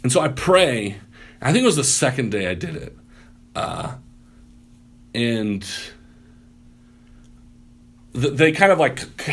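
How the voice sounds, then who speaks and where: Animated, loud-voiced male pastor telling stories. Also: mid-range voice, husky. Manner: animated storyteller, loud voice, acts out quotes. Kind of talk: casual podcast storytelling.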